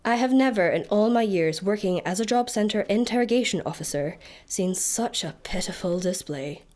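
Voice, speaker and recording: A woman's voice: mid-range voice, animated, moderate pace, darkish tone, lightly acted. An actress with a Scottish accent playing a scornful, gleefully sinister female interrogation officer in a comic radio play. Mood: seething contempt.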